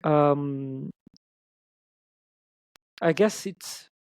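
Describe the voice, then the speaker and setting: A man's voice: on the high side, drawn-out words, darkish tone, clear, resonant tone, no echo. A man with an Italian accent, a musician guest chatting in an interview, hesitant with many ums and restarts. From Italy. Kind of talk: casual interview chat.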